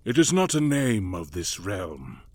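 Man doing a proud, bitter old aristocrat, husky and slow, lively swings in pitch. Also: no echo, full character voice. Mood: solemn. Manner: slow, growling delivery. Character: old male lord, gruff, bitter and proud.

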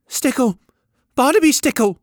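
A man's voice: extremely high pitch, animated, normal speed, no echo, studio quality, pitched above the performer's own voice. A man reading an audiobook, voicing a lonely, earnest young boy who pleads in ungrammatical country speech. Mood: eager.

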